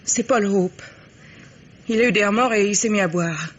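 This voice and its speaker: An actress playing a frightened, defensive young woman accomplice under questioning, halting and insistent, in old French radio mystery style. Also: mid-range voice, slightly rough, natural voice. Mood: anxious confession. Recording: muffled, hissy old radio drama recording.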